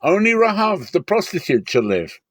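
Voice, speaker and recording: A man playing a commanding military leader who rallies his people with loud, solemn declamation in a dramatic scripture reading. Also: high-pitched, very animated, moderate pace, no echo, studio quality, pitched above the performer's own voice. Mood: rousing, commanding.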